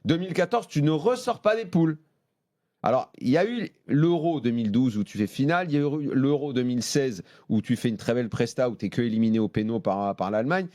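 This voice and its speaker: A man's voice: medium pitch, fairly quick, warm tone, no room sound. Emphatic man arguing in a dry studio. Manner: emphatic, restarts often mid-sentence. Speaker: football pundit. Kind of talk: heated studio debate. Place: radio and TV studio.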